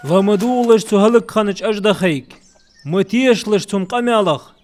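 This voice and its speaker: Guy doing a cartoon male, pretty high voice, animation dub. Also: moderate pace, natural voice. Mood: talkative.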